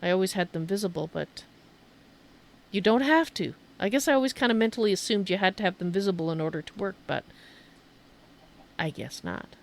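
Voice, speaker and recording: A woman's voice: medium pitch, expressive, a little fast, creaky, pauses often. A woman streamer giving casual video game commentary, rambling and thinking aloud as she goes.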